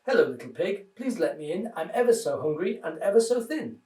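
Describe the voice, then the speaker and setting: A man voicing a big bad hungry wolf in a children's storybook, greedy and menacing, with a deep growl. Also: very animated, a little fast, darkish tone. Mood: wheedling. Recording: roomy echo, distant microphone.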